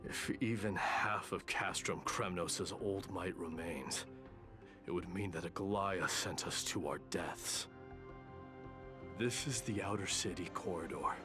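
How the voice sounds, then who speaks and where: Stern proud warrior, low deep voice, slow, curt, grave. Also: male voice. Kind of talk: video game voice acting. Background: game music.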